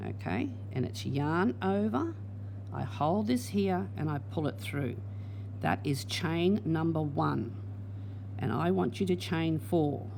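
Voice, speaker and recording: A woman guides learners through a crochet block in a patient, step-by-step way. Her voice is worn and hoarse. A faint hum sits under the recording. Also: on the low side, warm tone. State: losing her voice. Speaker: crochet teacher. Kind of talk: crochet tutorial.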